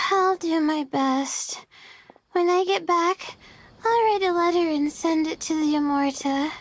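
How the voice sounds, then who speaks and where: A woman voicing an earnest, gentle and weary young female scholar in a video game, explaining things carefully. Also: very high-pitched, takes it slowly, slight background noise. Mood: weary, hopeful. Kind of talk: English video game voice acting. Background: music.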